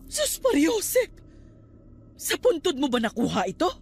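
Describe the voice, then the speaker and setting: High, dramatic, scolding Filipino aunt. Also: female voice, wildly swooping pitch, takes it slowly, creaky, exaggerated voice. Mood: shocked. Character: stern, superstitious aunt scolding her nephew. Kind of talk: Filipino radio drama acting.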